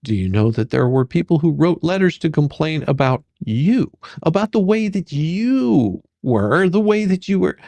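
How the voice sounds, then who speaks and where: Gravelly, persistent attorney, acted out by a reader. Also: medium pitch, sing-song, normal speed, few pauses, dark tone, no echo. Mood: probing. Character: sarcastic, persistent attorney questioning a witness at deposition. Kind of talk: dramatic deposition reading.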